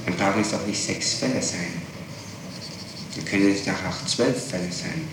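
A man's voice: sits low, normal speed. A man questioning someone formally in an interrogation, probing and persistent, with hesitant phrasing. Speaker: interrogator, state prosecutor. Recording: distant, very echoey, noisy archival tape.

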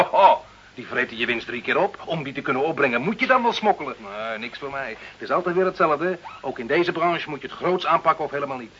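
Bright-voiced cynical village labourer. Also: male voice, mid-range voice. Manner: sardonic, colloquial, complaining. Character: cynical, grumbling poor village bricklayer, working man. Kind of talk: radio play acting. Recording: dull old radio recording, faint hiss.

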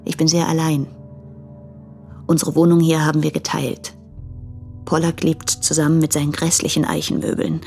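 An actress playing a lonely, despairing young woman who confesses in letters in a radio drama, with a husky, halting, subdued delivery. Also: sits low, darkish tone, faint music behind. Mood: lonely, wistful. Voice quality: breathy, husky with creaky edges.

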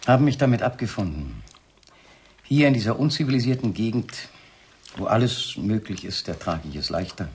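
An actor playing a cultured, controlled doctor in a radio play, speaking in a measured, urbane, coaxing way. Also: male voice, on the low side, expressive, husky, full character voice. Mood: coolly matter-of-fact. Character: cultured doctor, controlled and hospitable, coolly resigned to his afflicted wife. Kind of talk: 1970s German radio drama acting. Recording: noisy 1970s radio play recording.

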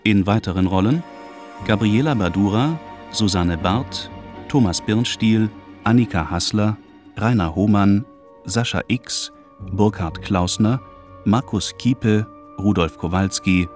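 A man announces a radio drama in a deep voice. His scripted delivery is formal, measured and slow, with music playing underneath. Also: darkish tone.

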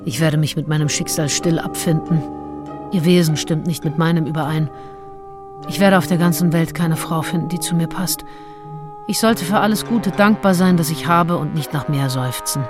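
An actress playing a self-absorbed, unsentimental 19th-century gentlewoman who reads from her diary in a radio drama. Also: sits low, moderate pace, over music, close to an everyday voice. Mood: resigned. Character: Anne Lister, self-absorbed, coolly candid 19th-century English gentlewoman diarist.

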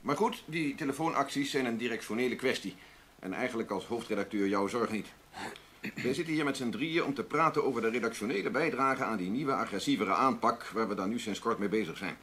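A male actor plays an old-guard newspaper boss in a radio play. He is older, blunt and stiffly formal, lecturing with authority in a medium-pitched voice. The recording carries clear noise. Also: a little fast, fairly bright tone, close to an everyday voice. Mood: formal, conceding. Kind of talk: Dutch radio drama acting.

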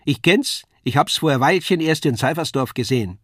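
A man puts on a Saxon-accented tailor's journeyman from a storybook. He grumbles like a know-it-all, a touch high, in a very dry recording. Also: animated, moderate pace, dry recording. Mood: grumbling.

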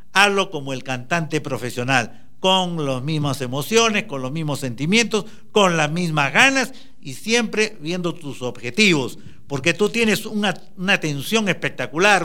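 A man's voice: high-pitched, pushed and bright. A man giving a motivational talk, emphatically urging the listener on and addressing them directly. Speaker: motivational speaker.

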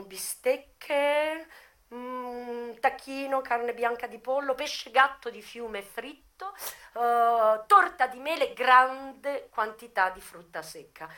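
A woman's voice: on the high side, pushed and bright, heavily acted. An actress playing a brazen, worldly American brothel madam in a theatrical radio monologue, drawing out her words and savouring every list. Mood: confiding, matter-of-fact. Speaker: stage actress. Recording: music playing behind the voice.

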